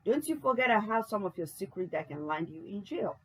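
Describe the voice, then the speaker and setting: Defiant cheating husband voiced by a woman reader, husky, lively pitch. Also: a little fast, rough recording. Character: cornered husband, defiant and threatening.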